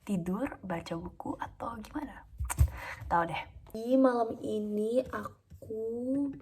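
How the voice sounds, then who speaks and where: A woman of twenty-one talking casually in a home vlog, calm and chatty with frequent fillers. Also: fairly high pitch, takes it slowly, slight background noise. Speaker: vlogger.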